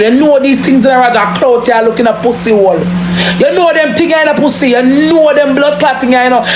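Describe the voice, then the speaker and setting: A Jamaican woman delivers a forceful, impassioned rant in casual street talk. She curses heavily and hammers phrases by repeating them. The recording is echoey, with clear noise behind her voice. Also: on the high side. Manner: forceful, heavy cursing, repeats phrases for emphasis. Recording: roomy echo, clearly noisy background.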